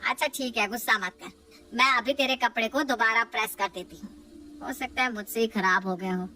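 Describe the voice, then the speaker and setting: A woman's voice: on the high side, fairly quick, pushed and bright, distant mic, a little hiss or hum. Woman doing a stubborn old mother-in-law. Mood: placating. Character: stubborn older mother-in-law, proud then remorseful.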